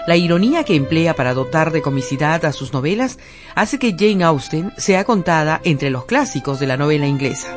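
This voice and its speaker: A woman narrator reading a scripted educational radio segment. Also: sits low.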